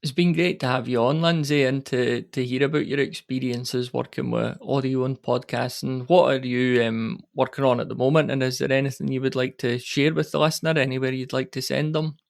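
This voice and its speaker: A man hosts a conversational podcast interview. His voice sits at an average pitch and has a distinct gravelly fry to it. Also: fairly even intonation, fairly quick, hardly any pauses.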